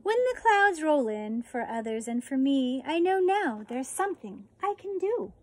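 An American woman in her forties, a teacher reading a children's picture book aloud outdoors with deliberate, expressive phrasing. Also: sits high. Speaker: elementary school teacher reading a story. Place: outdoors on a residential deck.

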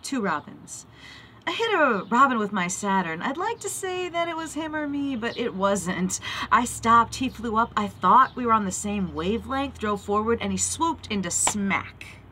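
A middle-aged woman poet reading her own verse aloud with deliberate, dramatic phrasing, recorded on a phone held at arm's length. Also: fairly high pitch, expressive, bright, forceful tone, slight background noise.

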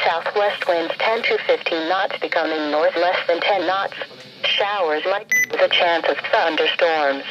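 A computerized radio announcer delivers a scripted forecast in short, clipped phrases. The sound is dull and lo-fi, with faint noise, as if from a small radio in a room. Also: mid-range voice. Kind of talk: scripted marine forecast reading. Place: played through a handheld radio indoors. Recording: muffled, noisy weather radio broadcast.

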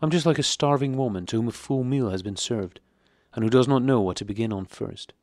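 A man's voice: mid-range voice, brisk, a little mellow, dry recording, clean studio sound, lightly acted. A man voicing an effusive, confiding aristocratic woman in an audiobook reading. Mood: eager. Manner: effusive, chatty.

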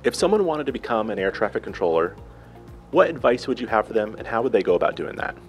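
A man in his thirties hosting a local TV segment with an upbeat, brisk presenter delivery. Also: on the low side, very animated, soft background music. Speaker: TV host. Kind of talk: local TV host interview. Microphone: handheld mic.

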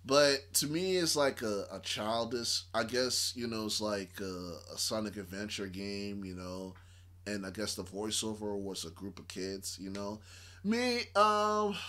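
Casual, hesitant man with lively pitch. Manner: hesitant, repetitive, rambling. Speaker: reaction video host. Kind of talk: casual chat, giving his opinion. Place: small room indoors.

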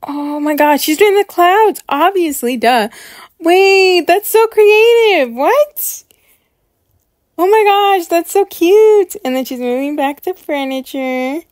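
Woman, high-pitched, casual reaction commentary, excitable, effusive, repeats herself for emphasis, very dry recording. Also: takes it slowly, no echo. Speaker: host of a reaction video series.

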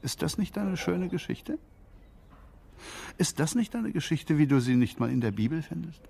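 An actor playing a cynical, smug newspaperman with a gravelly, husky voice and a theatrical, mocking delivery in a radio play. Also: on the high side, sing-song, moderate pace, dark tone. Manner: sardonic, theatrical.

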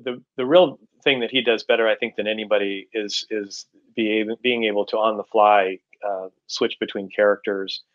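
A middle-aged man answering interview questions as an author, halting and repeating words as he explains, on a video call. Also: fairly low pitch, a bit bright. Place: indoors at home, room with bookshelves, on a video call. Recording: slightly dull video-call audio.

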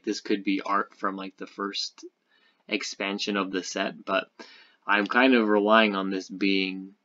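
Man, fairly low pitch, unscripted gaming commentary, halting thinking-aloud delivery, slightly dull recording. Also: sharp tone. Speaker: video host.

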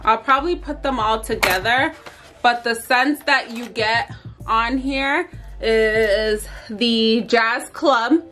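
A woman in her thirties chats tiredly to camera from her bedroom, full of ums and likes. Her voice sits a little high and her pace is slow and drawn out. Faint music plays underneath. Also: pushed and bright. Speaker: vlogger. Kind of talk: casual unboxing vlog. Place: bedroom at home.

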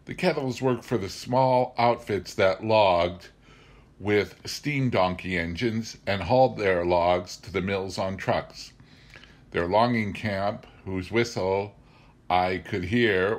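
Deep-voiced middle-aged man reading a memoir aloud. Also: slow pace. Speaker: amateur audiobook reader. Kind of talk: narrating a memoir, scripted reading.

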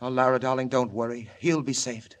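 A man's voice: medium pitch, little pitch movement, a little fast, close to an everyday voice. An actor playing an anguished scientist father from a doomed planet, grave and melodramatic, in old 1940s radio serial style. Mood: grave, tender.

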